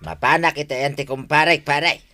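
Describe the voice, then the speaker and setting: A man's voice: a little high, fairly even intonation, moderate pace, over music, natural voice. A man playing a proud, reluctant husband who comes round to helping, in an Ilocano radio comedy drama. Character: proud, reluctant husband grudgingly turning helpful.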